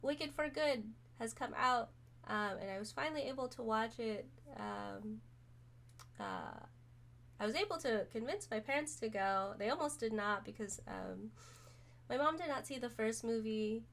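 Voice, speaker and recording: Hoarse gravelly woman chatting casually. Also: a little high, sing-song, a little slow. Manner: rambling, many ums, soft-spoken. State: hoarse, recovering from illness. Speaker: streamer. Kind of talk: casual chat to camera.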